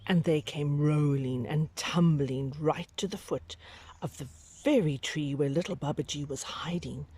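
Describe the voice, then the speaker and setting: A woman reading a picture book aloud to young children, with dramatic, drawn-out emphasis and hushed whispery moments. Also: deep voice, slightly rough.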